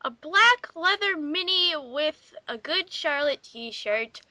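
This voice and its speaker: A woman's voice: sits high, animated, takes it slowly, very noisy. A woman reading aloud in an exaggerated, theatrical way as part of a group game. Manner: theatrical, exclaiming. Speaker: player in a dramatic reading game. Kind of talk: exaggerated dramatic reading among friends.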